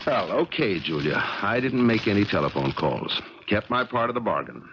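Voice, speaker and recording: A man's voice: lowish voice, very animated, moderate pace, fairly bright tone. An actor playing a stubborn, suspicious man with a blunt, insistent manner in a 1970s radio drama. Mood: blunt, determined. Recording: crackly, dull 1970s radio recording.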